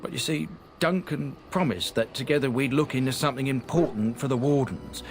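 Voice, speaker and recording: A man's voice: medium pitch, a little fast, close to an everyday voice. A man playing a chatty old trader in a fantasy game. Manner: chatty, folksy, rambling. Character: older male traveling trader, chatty and folksy. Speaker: merchant character in a video game. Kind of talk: voiced game dialogue. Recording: game audio over background music. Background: game soundtrack music.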